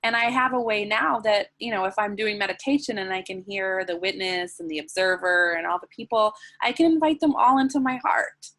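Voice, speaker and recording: A woman with a mid-range voice speaks softly and gently. Her talk flows loosely, full of "like"s and "um"s. She slows down when she guides listeners through breathing. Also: mid-range voice. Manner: soft, flowing, with many "like"s and "um"s. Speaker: guest, sensual wellness teacher. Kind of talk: conversational interview, then guided breathing.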